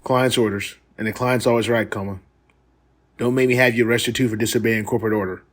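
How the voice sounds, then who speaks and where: A man's voice: fairly low pitch, fairly even intonation, quick pace, close to an everyday voice. A man acting a stern but loyal mercenary squad leader in a sci-fi audio drama.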